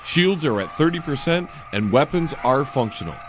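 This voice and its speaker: Parody sci-fi audio drama first officer, male actor: formal, detached alien, medium pitch. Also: lively intonation, normal speed, background noise, natural voice.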